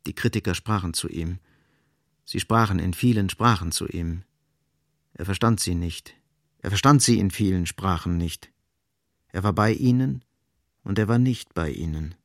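A male actor as the sober narrator of a literary radio play, speaking in deliberate, emphatic short sentences. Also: sits low, dry recording, studio quality. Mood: grave, insistent.

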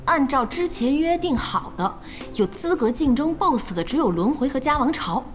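Bubbly, high-voiced young boss. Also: female voice, normal speed. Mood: thoughtful. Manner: expressive, inquisitive, playful. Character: bubbly, curious young woman boss, playfully teasing. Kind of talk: Chinese radio drama acting. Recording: studio voice over faint music.

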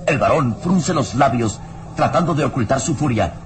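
A man's voice: medium pitch, animated, moderate pace. A man narrating a radio serial in a solemn, suspenseful manner. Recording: old radio serial with music. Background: dramatic music.

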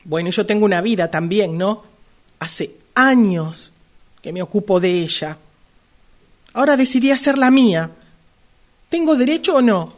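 A woman's voice: medium pitch, lively intonation, normal speed, long pauses, natural voice. An Argentine woman playing a hesitant sister in love, a longtime caregiver, in an amateur radio drama. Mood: pleading, insistent.

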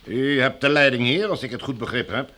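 An actor playing a formal, domineering military commander in a radio drama, smooth and coldly menacing. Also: male voice, medium pitch, quick pace, pushed and bright, lightly acted. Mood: officious, imperious. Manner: formal, officious, bureaucratic.

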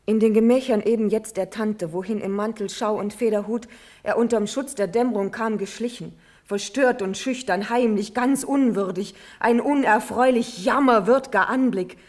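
An actress playing a noble young princess in a classical radio drama, speaking solemn, declamatory verse with passion and pride. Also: female voice, on the high side, normal speed, darkish tone, lightly acted. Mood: shaken, lamenting.

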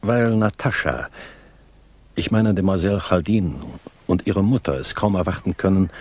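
An actor playing a courteous, reserved middle-aged Englishman in a radio drama, formal and measured, with a husky voice. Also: low voice, a little mellow, exaggerated voice. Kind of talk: radio drama acting with reflective narration.

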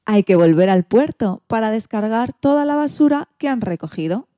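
A woman reading a children's storybook in an expressive, theatrical way. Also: on the high side, moderate pace, no echo, studio quality, soft background music. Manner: theatrical, acts out sound effects and exclamations.

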